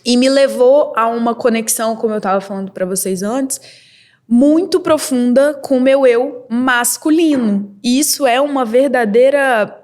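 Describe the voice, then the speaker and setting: A young woman from Brazil, a model and entrepreneur, telling her story as a podcast guest in a candid, lively way with quick bursts and laughs. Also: fairly high pitch. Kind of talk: casual interview storytelling.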